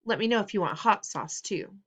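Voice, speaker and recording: A woman voicing a gentle, protective mother who speaks softly and soothingly. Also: mid-range voice, lively intonation, a little fast, lightly acted. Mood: kind. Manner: soft-spoken and soothing.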